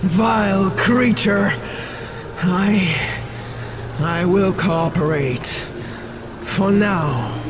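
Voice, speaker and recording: A woman's voice: mid-range voice, drawn-out words, pauses often, noisy background. A woman voicing a proud, defiant captive dragon queen in a fantasy game, grand and anguished over music. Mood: bitter. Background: dramatic music.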